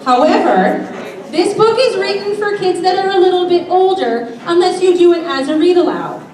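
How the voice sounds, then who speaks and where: A woman teacher addressing young children before a read-aloud, asking simple questions and explaining deliberately. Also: extremely high pitch, lively intonation, a little mellow, far from the mic. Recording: echoey room from afar, faint noise.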